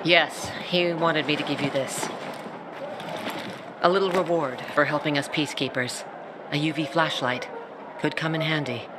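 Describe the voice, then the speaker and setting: An actor playing a tough peacekeeper commander who speaks in a gruff, curt way. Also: medium pitch, fairly even intonation, normal speed, pauses often, background noise, close to an everyday voice.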